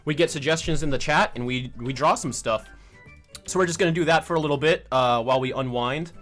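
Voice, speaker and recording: A man's voice: a little high, brisk, a bit bright, faint music behind. A man co-hosting a livestream with casual, jokey, exclamatory banter. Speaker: livestream co-host.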